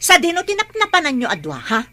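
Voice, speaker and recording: A woman's voice: high-pitched, wildly swooping pitch, fast, pushed and bright, exaggerated voice. A woman playing an embittered, quarrelsome mother in a radio drama, with a scolding, indignant manner. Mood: furious scolding. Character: embittered, quarrelsome mother of two, separated from husband. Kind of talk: Ilocano radio drama acting.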